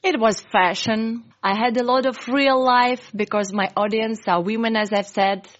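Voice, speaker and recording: A woman, a Russian speaker, telling her story in earnest, halting English in an interview. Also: fairly high pitch, steady tone, dull audio. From Ukraine. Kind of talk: emotional interview.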